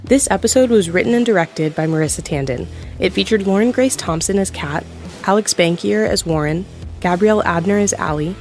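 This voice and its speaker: A woman's voice: on the low side, a little mellow. A woman announcer giving a scripted read of end credits for an audio drama podcast. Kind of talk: scripted narration.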